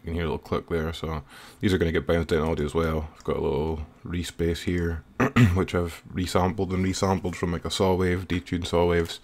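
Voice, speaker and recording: Male music producer, low register, informal and slightly hesitant, talking through a screen-recorded software tutorial. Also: level tone, a little fast, hardly any pauses. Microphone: voice-over recorded while working at computer.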